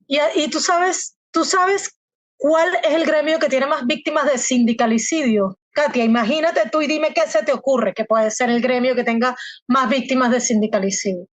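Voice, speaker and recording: A woman's voice: high voice, normal speed, no room sound. A woman born in Colombia and raised in Venezuela, giving impassioned, emphatic interview answers full of rhetorical questions. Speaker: guest analyst.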